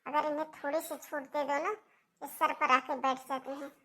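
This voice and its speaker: A woman voicing a bossy, taunting older mother-in-law in a cartoon family drama, with a sharp, nagging manner. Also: high voice, flat delivery, moderate pace. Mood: spiteful.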